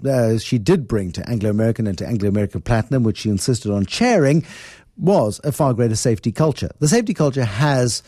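A man's voice: medium pitch, lively intonation, quick pace, warm tone, no echo, studio quality. A man hosting a radio interview, asking probing questions and often restarting his phrases. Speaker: radio host.

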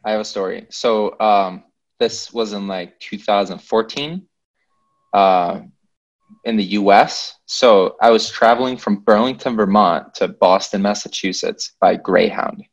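A man's voice: lowish voice, fairly even intonation, a little slow, dull audio. A young man telling an anecdote in casual conversation, repeating himself for emphasis. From Sweden. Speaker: podcast participant telling an anecdote. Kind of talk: casual chat, storytelling.

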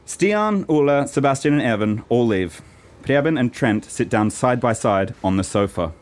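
A man's voice: medium pitch, expressive, moderate pace. Male narrator for a comic radio play, middle register, cold read of the script.

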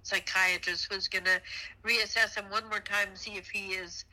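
Middle-aged woman, very bright forceful voice. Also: medium pitch, level tone, normal speed, rough recording. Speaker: host's mother, a guest. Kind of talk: casual chat.

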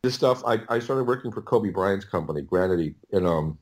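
A man's voice: low-pitched, expressive, darkish tone. A middle-aged American man telling stories casually as an interview guest, often stopping and correcting himself as he rambles. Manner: halting, rambling, self-correcting. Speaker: audiobook producer, podcast guest.

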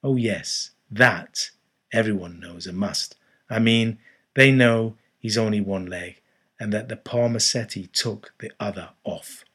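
A man reads as a ragged old seaman, low and husky, leaning into every word with eerie insistence. His talk is cryptic and grim, full of dark hints, like a figure out of a storybook sea tale. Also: measured pace. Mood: ominous. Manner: earnest, cryptic, ominous. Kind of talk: audiobook character voice.

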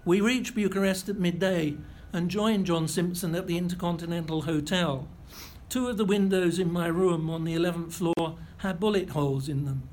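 An older man giving a lecture, reading out a first-hand account in a measured, precise way with a few self-corrections. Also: high voice, a little mellow. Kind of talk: reading a prepared memoir-style lecture.

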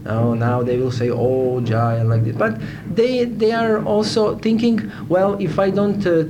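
A Serbian-accented man speaks casually as an interviewee. His voice is a little higher than average, and he often hesitates to find the English word. The room recording carries faint noise. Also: expressive. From Novi Sad, Serbia. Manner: halting, searching for words in English. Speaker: interviewee, Hare Krishna devotee. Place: a room in India.

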